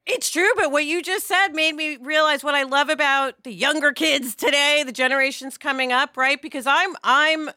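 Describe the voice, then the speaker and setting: A middle-aged woman co-hosting a podcast in an emphatic, expressive conversational style. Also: high voice, normal speed, few pauses, no echo, studio quality. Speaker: podcast co-host. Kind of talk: animated conversational discussion.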